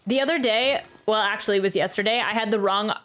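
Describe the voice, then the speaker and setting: A woman's voice: medium pitch, fast, fairly bright tone, a little hiss or hum. A woman giving casual, chatty live commentary as a game streamer, thinking aloud as she plays. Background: video game music.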